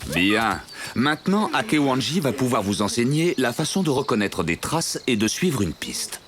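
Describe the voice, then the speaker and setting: Cartoon father wolf, male actor: firm, authoritative, very varied pitch, busy background. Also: sing-song, moderate pace, a crowd in the background, natural voice. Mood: stern. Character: stern adult male wolf, a father scolding his cubs. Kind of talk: French animated series dub acting.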